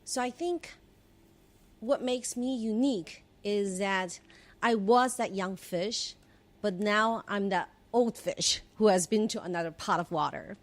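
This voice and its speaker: A woman's voice: medium pitch, measured pace. A woman from China, in her forties, telling stories from her career as a podcast guest, reflective, with restarts and small laughs. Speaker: podcast guest, former government relations head. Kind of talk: conversational interview storytelling.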